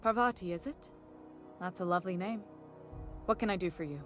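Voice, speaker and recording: An actress playing a confident, no-nonsense space station captain in a video game, plainspoken, firm and cordial. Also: female voice, fairly low pitch, fairly quick, soft background music, natural voice. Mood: friendly. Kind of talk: video game character acting.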